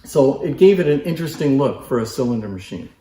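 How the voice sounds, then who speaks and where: A man's voice: medium pitch, animated, fast, dark tone, a little hiss or hum. A man giving a casual, explanatory demonstration as a presenter showing off antique machines. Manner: informal, explanatory. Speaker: presenter showcasing antique phonographs. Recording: echoey room.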